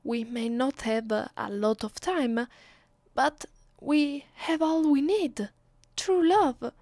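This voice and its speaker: A woman with an Italian accent playing an affectionate, playful vampire girlfriend in an ASMR roleplay, speaking softly, intimately and teasingly. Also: a little high, no echo. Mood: tender, loving. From Italy. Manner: soft, tender, teasing, intimate. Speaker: voice actress.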